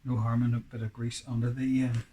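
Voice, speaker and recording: A man giving casual hands-on repair narration, halting and half talking to himself as he works. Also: mid-range voice, little pitch movement, dark tone. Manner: muttering to himself while working, halting. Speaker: DIY repair presenter. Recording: clearly noisy, with clicks and tool handling.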